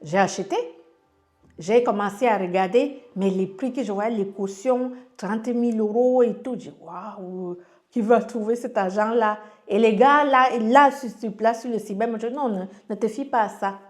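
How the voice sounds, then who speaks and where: Halting Cameroonian woman telling her story. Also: fairly high pitch. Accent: Cameroonian French. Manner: halting, frequent fillers and restarts, relays others' words in dialogue. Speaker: guest sharing a personal testimony. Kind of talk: casual spoken storytelling.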